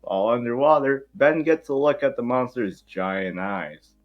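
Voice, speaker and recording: A man's voice: medium pitch, lively intonation, normal speed, lo-fi sound. A man hosting and narrating an amateur table read, informal and sometimes stumbling over the script. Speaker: host and narrator.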